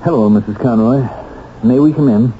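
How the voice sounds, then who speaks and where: An actor playing an earnest, eager man seeking to clear his name in a 1970s radio drama. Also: mid-range voice, moderate pace, close to an everyday voice. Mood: grave, courteous. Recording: muffled, hissy old radio recording.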